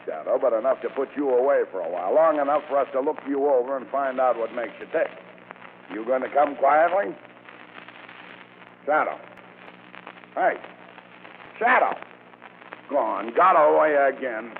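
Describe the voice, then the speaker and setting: An actor playing a brash, wisecracking American police commissioner in a gruff, slangy 1940s radio drama style. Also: male voice, medium pitch. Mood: exasperated. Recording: tinny, noisy 1940s radio recording.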